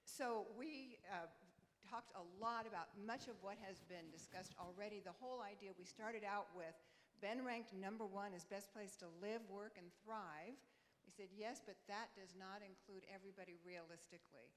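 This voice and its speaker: A woman at a community forum calmly reporting her group's discussion. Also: mid-range voice, normal speed, slight background noise. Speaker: forum attendee. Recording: distant in an echoey hall.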